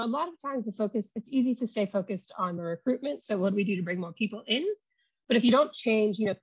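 A woman academic talks through her slides on a video call, unhurried and conversational, leaning on ums and you knows as she explains. Her voice is a little breathy and husky, at an ordinary pitch for a woman. The sound is dry and slightly dull, like a laptop call. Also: no room sound. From not South African. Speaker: university oceanography lecturer. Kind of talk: academic talk over slides.

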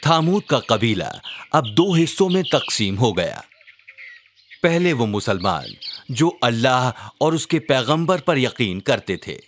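A man narrating a scripted children's religious story in a measured, dramatic storyteller delivery. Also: mid-range voice, slight background noise.

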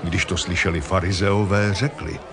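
A man's voice: low voice, steady tone, a crowd in the background. A man narrating a dramatized Bible reading from a script.

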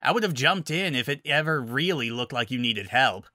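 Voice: medium pitch, animated, normal speed, sharp tone, dry recording, studio quality. A narrator voicing a brash, teasing young female adventurer in a fantasy story, with a cocky, casual, flippant manner. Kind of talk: audiobook-style fantasy story character.

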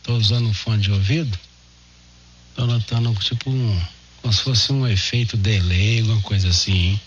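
A man's voice: lowish voice, moderate pace. A Brazilian man chatting casually as an amateur radio operator. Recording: dull narrowband radio audio with hum, noise.

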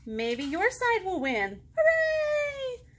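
An American woman with a high voice reads and chats as a children's librarian. She speaks expressively and keeps her phrasing simple, as if to a group of young children. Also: wildly swooping pitch, slow pace, a bit bright. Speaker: children's librarian hosting storytime. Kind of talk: children's storytime read-aloud and chat. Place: small indoor room, seated before a book display.

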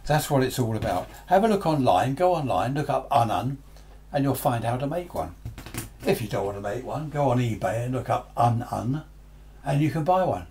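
A man's voice: medium pitch, animated, normal speed, a little mellow, slight background noise. A man in his seventies giving an informal, unscripted hobby tutorial, chatting along with rambling asides. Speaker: amateur radio hobbyist presenting a tutorial. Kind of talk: informal unscripted explanation to camera. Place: small cluttered home radio room, indoors.

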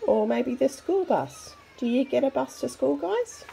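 A woman reading a picture book aloud to young children in an expressive, chatty way. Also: high-pitched, measured pace, creaky, slight background noise, rough recording. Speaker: storybook reader for children.

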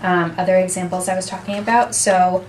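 Young woman, workshop presenter, low-pitched, flat delivery, steady explaining, small conference room. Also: fairly quick, a little hiss or hum. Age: young adult. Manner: explains steadily, gesturing with her hands. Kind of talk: seminar talk.

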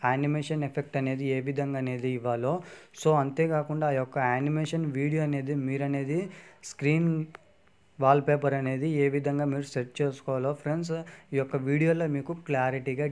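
A man's voice: medium pitch, a little mellow, clear, resonant tone. A man hosting a phone app tutorial, talking through each step and constantly repeating fillers and "friends". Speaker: tech tutorial host. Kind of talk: screen-recorded app tutorial.

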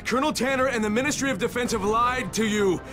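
An actor playing a defiant, sardonic stranded operative in a video game, confronting the people who betrayed him. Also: male voice, extremely high pitch, fast, pushed and bright, soft background music. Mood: urgent, grave. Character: defiant stranded male operative turning on his corrupt employers.

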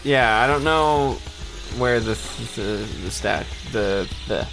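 A man's voice: mid-range voice, slow, rough recording. A young adult man giving casual gameplay commentary as co-host of a let's play. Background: video game music.

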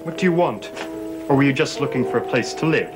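A man's voice: mid-range voice, fairly quick, close to an everyday voice. A young actor playing an irritated, suspicious man, curt and probing, in a television soap. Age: young adult. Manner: curt, interrogating. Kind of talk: television soap opera acting. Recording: vintage TV soundtrack, very loud music.

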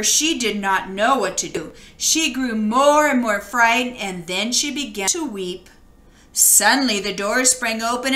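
A woman reading a children's storybook aloud with expressive, dramatic storytelling. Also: sits high, echoey room. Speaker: children's book read-aloud reader.

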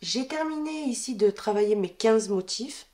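A woman with a head cold gives a calm step-by-step crochet lesson, counting her stitches aloud as she works at a table. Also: a little high, moderate pace. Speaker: crochet tutorial host. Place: indoors at a wooden table.

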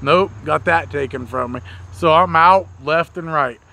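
A man's voice: a little high, measured pace, creaky, pushed and bright. An American man in his thirties telling a story casually outdoors to camera, with blunt, slangy delivery. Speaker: mobile mechanic and video host. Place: outdoors in a leafy backyard.

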